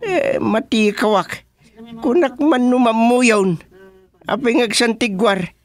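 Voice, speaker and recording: A man with a Filipino accent playing an excitable father in a radio comedy drama. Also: very high voice, slow. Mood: pleading, curious. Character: excitable father fretting over his lost cellphone.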